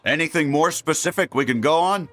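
A man voicing a stern, guarded space ranger toy in a video game, speaking in a serious, heroic, deliberate manner. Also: on the high side, music in the background, close to an everyday voice. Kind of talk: animated video game acting.

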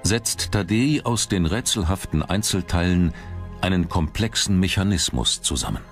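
A man's voice: low-pitched, animated, normal speed. A man reading scripted narration for a documentary as its narrator. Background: background music.

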